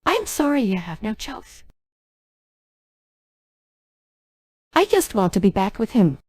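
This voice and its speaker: A synthetic female voice playing a sorrowful, devoted young genie in a game cutscene, apologetic and halting. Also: mid-range voice, sing-song, darkish tone, breathy edge, no room sound, studio quality. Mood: torn, apologetic. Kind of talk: dubbed video game cutscene dialogue.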